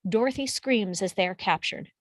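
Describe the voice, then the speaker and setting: Woman narrator, medium pitch, scripted stage directions, close dry recording. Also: moderate pace, no echo.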